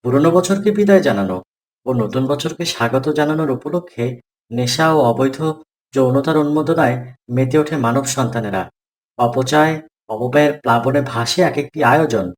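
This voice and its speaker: A man's voice: mid-range voice, lively intonation, moderate pace, natural voice. A man playing a pious, earnest father in a children's moral cartoon, didactic and solemn. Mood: earnest, admonishing. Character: pious, earnest father admonishing his son's young friends.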